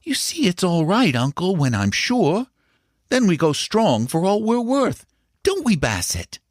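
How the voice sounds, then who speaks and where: A man's voice: huge pitch swings, unhurried, no echo, studio quality, full character voice. A man doing a tense, anxious young boy. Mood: eager. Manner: high, strained, earnest.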